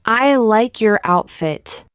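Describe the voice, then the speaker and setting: A woman reading short practice sentences aloud for learners, saying each one twice, slowly and carefully. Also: fairly high pitch, lively intonation, fairly bright tone, clear, resonant tone, no echo, studio quality. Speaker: English teacher.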